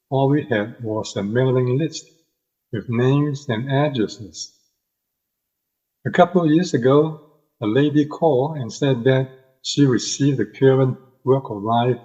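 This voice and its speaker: Husky older man with Hong Kong accent. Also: medium pitch, dark tone. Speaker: podcast guest. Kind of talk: personal testimony. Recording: dull lo-fi audio.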